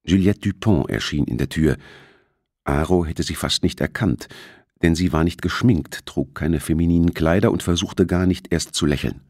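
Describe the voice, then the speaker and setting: A man's voice: low-pitched, moderate pace, a little mellow, dry recording, studio quality. A man reading a thriller as an audiobook narrator, in scripted narration.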